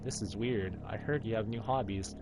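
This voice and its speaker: A man hosting a gaming playthrough, reading a visual novel aloud in a measured storytelling way and lightly acting out dialogue. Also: fairly low pitch, music in the background, low-quality recording. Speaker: gaming video host.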